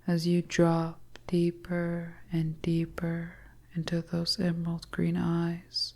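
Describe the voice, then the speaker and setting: Female hypnotic storyteller, breathy whisper, low and mellow, very measured. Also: completely flat delivery. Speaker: audio storyteller. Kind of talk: hypnotic scripted second-person narration.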